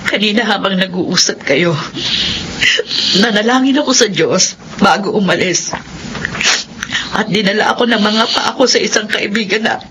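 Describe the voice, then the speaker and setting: A Filipina actress playing a gentle, prayerful mother of a grown son in a radio drama, soothing and earnest. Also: a little high, noisy background, close to an everyday voice.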